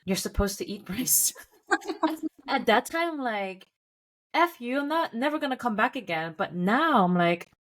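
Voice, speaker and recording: A woman's voice: fairly high pitch, expressive, unhurried, a bit bright, poor audio. A young woman co-hosting a casual podcast chat, asking direct questions and telling anecdotes with animation. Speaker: podcast co-host.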